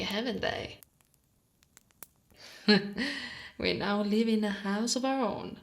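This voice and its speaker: A woman from Italy voicing a short female orc guard in intimate ASMR roleplay, gently dominant and doting, soft and breathy with slips into whispering. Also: medium pitch, close to an everyday voice. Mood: tender, contented. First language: Italian.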